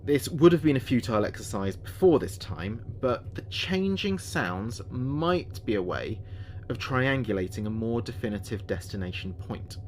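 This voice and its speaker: A man playing an earnest spaceship communications officer, speaking his log in a measured, precise way for a sci-fi audio drama. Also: mid-range voice, animated, slight background noise, close to an everyday voice.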